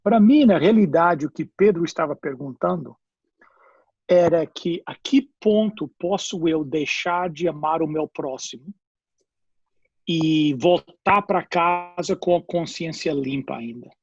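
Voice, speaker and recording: A man with an English accent, a pastor whose first language is English, talks in a panel discussion, hunting for words and dropping in English fillers. His voice sits a little high and comes out husky. The audio is dull and lo-fi, with a dry, close sound. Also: unhurried, darkish tone, no echo.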